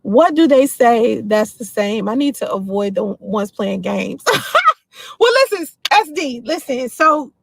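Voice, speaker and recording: A woman in her forties from the D.C. area talks straight to her livestream viewers and answers their comments without holding back. Her voice sits a little high. The sound is dry and close to the mic. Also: sing-song, a little slow, gravelly, no echo. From Washington, D.C. area. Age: 40s. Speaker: livestream host. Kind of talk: casual livestream chat.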